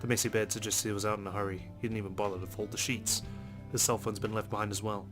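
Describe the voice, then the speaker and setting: A man reads visual novel narration aloud as a let's play host, dropping in casual asides. His voice is low and flat in pitch, and he moves fast, with guitar music clearly behind him.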